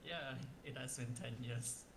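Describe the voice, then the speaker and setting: Relaxed professor guy in his 30s, repeats himself, loud noisy call line. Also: mid-range voice, a little slow. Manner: relaxed, repeats words, laughs softly. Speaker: professor hosting the session. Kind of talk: casual chat. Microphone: video call.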